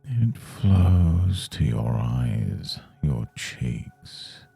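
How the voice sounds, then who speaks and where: A man leading a guided meditation in a soothing, measured, hushed manner. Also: a bass voice, very animated, vocal fry, breathy edge, soft background music. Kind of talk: guided Yoga Nidra meditation.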